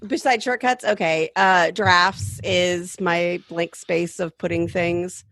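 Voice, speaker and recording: A woman's voice: on the low side, steady tone, slow, a bit bright, no room sound. A woman chatting casually about tech as a guest on a podcast.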